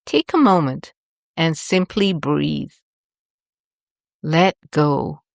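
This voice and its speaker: A woman host narrating a guided meditation in a gentle, soothing and deliberate manner. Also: deep voice, lively intonation, gravelly, no room sound, studio quality.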